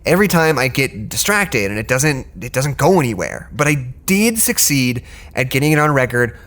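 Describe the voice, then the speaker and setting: A scattered scientist, mid-pitched, frustrated, stumbling through explanations in naturalistic audio drama. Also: male voice, big pitch swings, fairly bright tone. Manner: halting, stammering, restarts sentences. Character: distracted, frustrated male research doctor.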